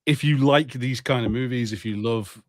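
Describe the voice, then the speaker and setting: A man co-hosting a film podcast, chatting casually and telling things in an animated way with mock impressions. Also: mid-range voice, moderate pace, dry recording, studio quality. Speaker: podcast co-host. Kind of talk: casual banter on a film watch-party podcast.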